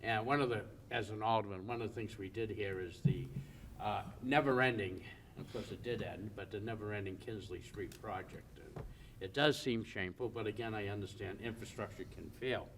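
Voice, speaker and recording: Loud-voiced man questioning at a meeting. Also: fairly quick, recorded from a distance, slight background noise. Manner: loud, self-described booming voice, chatty. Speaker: alderman. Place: city board meeting room.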